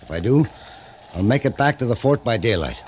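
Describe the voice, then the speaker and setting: A man's voice: medium pitch, lively intonation, fairly quick, a little mellow, close to an everyday voice. A man playing an earnest, rustic army scout in an old-time radio western. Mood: grave, dutiful. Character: earnest, plain-spoken male army scout. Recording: noisy vintage radio recording with ambience.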